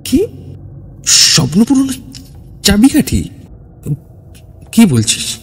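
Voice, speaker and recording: A man's voice: high voice, wildly swooping pitch, slow, slight background noise, pitched above the performer's own voice, full character voice. A man voicing an irritated, worried young male friend who scolds and questions emphatically in an audio horror story. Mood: irritated disbelief.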